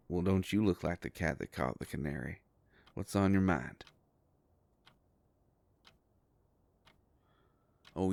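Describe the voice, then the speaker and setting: Man acting as male werewolf detective, extremely low pitch, gruff, protective, folksy, unhurried, close dry sound, audio roleplay. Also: dry recording. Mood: amused, impressed. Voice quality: deep, gravelly. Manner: folksy, halting, gently teasing. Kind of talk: scripted roleplay audio drama, intimate.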